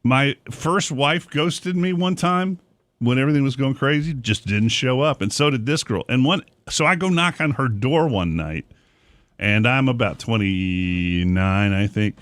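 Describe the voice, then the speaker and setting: A man's voice: mid-range voice, measured pace, a bit bright, no room sound. Middle-aged radio man, dry close sound. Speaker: radio morning show host. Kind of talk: casual radio chat, telling personal stories. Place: small radio studio. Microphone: close studio microphone on boom arm.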